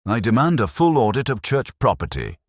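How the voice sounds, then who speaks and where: A man voicing an indignant, demanding woman who presides over a parish committee, forceful and snappish. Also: low voice, sing-song, normal speed, no room sound, studio quality.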